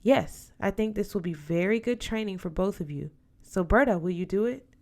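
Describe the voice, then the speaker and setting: A woman's voice: mid-range voice, expressive, normal speed, darkish tone, close to an everyday voice. A woman voicing an older godmother aunt in an audiobook, firm, affectionate and dutiful, counselling emphatically. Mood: earnest. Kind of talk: audiobook character voice.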